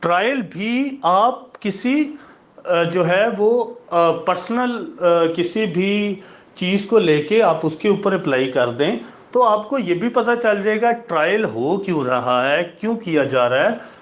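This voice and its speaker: A man with a notably high voice teaches in an informal, explanatory style. He sets out questions and then answers them, slipping between Hindi-Urdu and English at a slow pace. The recording sounds dull and lo-fi. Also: lively intonation. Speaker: law lecturer. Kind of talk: informal explanatory lecture with everyday examples.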